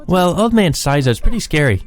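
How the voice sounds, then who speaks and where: A man's voice: on the high side, big pitch swings, a little fast, voice pushed up. A man voicing a casual, slightly timid young village girl in a visual novel.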